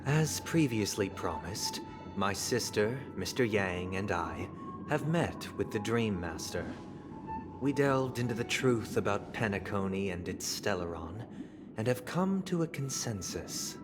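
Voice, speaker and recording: An actor playing a composed, courteous male leader in a video game, speaking in measured, solemn oratory. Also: lowish voice, expressive, music in the background. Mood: ceremonious. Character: composed, formal male leader of a noble family. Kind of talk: video game story voice acting, formal speech.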